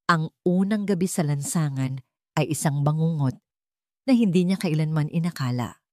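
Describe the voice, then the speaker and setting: A woman's voice: sits low, moderate pace, darkish tone, dry recording, studio quality. A woman narrating a scripted dramatic story as a storyteller.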